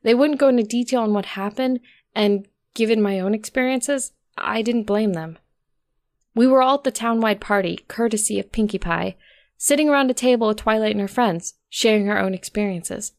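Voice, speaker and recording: Woman narrating a story, slightly creaky voice. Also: a little high, normal speed. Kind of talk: expressive scripted story narration.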